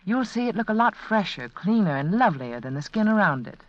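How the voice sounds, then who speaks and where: Lively-pitched woman reading a vintage radio advert. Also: fairly quick, dry recording. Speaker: sponsor's hostess. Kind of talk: scripted 1940s radio commercial. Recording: dull, lo-fi vintage radio broadcast.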